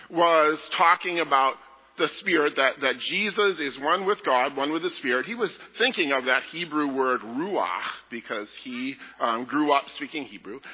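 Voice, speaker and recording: A man leading a children's message in a church service, talking to the children in a gentle, playful way. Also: on the high side, slightly rough. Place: large church sanctuary. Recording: echoey hall, dull lo-fi sound.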